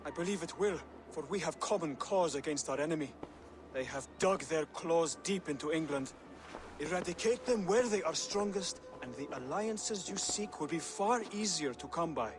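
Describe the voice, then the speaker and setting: An actor playing an earnest, devout assassin scholar in a video game, speaking in a formal, measured and solemn way. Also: male voice, a little high, animated, over music, natural voice. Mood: courteous, purposeful. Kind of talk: scripted dramatic game dialogue.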